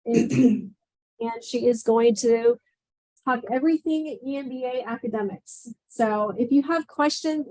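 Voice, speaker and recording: A woman advisor giving a reassuring, brisk orientation talk to new students in a classroom. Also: on the high side, darkish tone, rough recording. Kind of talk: orientation presentation.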